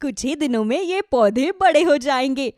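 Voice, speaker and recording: A woman plays an excitable village wife in a children's moral cartoon. Her voice is high and dramatic, swinging widely in pitch, in a dry recording. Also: normal speed, no echo. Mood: hopeful. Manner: dramatic, wide-eyed exclaiming. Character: easily frightened, hopeful village wife.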